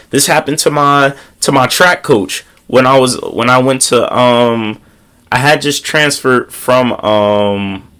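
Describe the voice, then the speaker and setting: A man's voice: mid-range voice, fairly bright tone, smooth. A young man with a congested voice from a slight cold speaks to his viewers outdoors. He gives earnest, slangy motivational advice and repeats his key lines for emphasis. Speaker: motivational vlogger. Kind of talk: casual motivational talk to viewers. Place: outdoors on a windy day.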